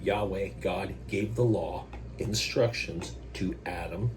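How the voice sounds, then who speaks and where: A slow, deep-voiced man reads scripture and slips into relaxed, self-mocking commentary between the verses. Allergies keep him clearing his throat. A steady hum and noticeable noise sit under the recording. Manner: casual, self-deprecating asides between verses. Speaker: host reading scripture. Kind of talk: Bible reading with informal commentary. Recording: noisy recording with electrical hum.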